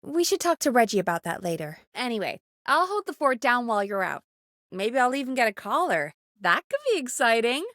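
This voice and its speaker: A young woman who produces a small-town radio show in a video game. Her voice sits fairly high, and she talks in an earnest, conversational and expressive way with an anxious edge. The recording is dry and studio-clean. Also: brisk, no room sound. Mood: light, chatty. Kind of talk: naturalistic video game voice acting.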